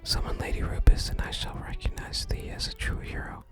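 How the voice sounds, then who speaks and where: An ASMR gamer voicing a solemn, archaic-speaking sage from a fantasy game in a hushed whisper. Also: a little fast, lightly acted. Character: solemn archaic sage guarding a sacred staff. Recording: soft music under the whisper.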